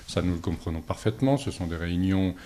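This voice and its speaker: A man gives a formal, measured political statement as a local opposition politician, halting often on long hesitations. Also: low voice, lively intonation, mellow, a little hiss or hum. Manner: hesitant, halting delivery with frequent long filler pauses. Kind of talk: political statement to camera, semi-prepared.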